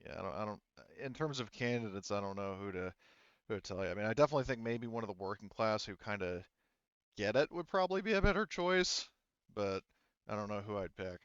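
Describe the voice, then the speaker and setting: A man plays a candid, cautious working woman from an engineering crew in a sci-fi tabletop game. The voice is low and smooth, full of informal hedging, on a slightly dull recording. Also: animated, a little fast, fairly bright tone, dry recording. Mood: candid, unsure.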